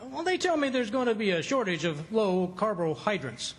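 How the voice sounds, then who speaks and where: A man playing a stubborn, excitable, wisecracking husband in an old-time radio comedy. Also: high voice, moderate pace, a little hiss or hum. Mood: blustering, argumentative.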